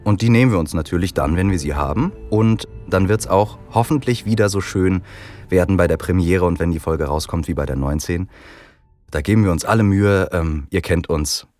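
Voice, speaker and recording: A man's voice: low voice, moderate pace, a little mellow, no echo, faint music behind. A man hosting a casual podcast chat, explaining things in a friendly way while he has a cold. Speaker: podcast host.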